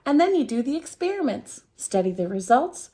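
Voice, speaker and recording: A woman reading a children's picture book aloud as a storytime host, expressively, with theatrical, drawn-out emphasis on key words. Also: high-pitched, sing-song, mellow. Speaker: storytime reader at a dance centre.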